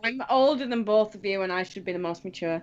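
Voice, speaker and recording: A woman's voice: mid-range voice, fast talker, a little mellow, lo-fi sound, a little hiss or hum. A woman in her twenties in casual joking banter, giggly and mischievous. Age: 20s.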